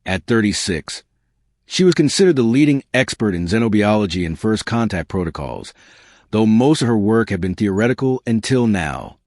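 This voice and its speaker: A male audiobook narrator reads science fiction in a lowish voice. His delivery is animated, with plenty of movement in pitch. The sound is dry and close, free of room. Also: dry recording.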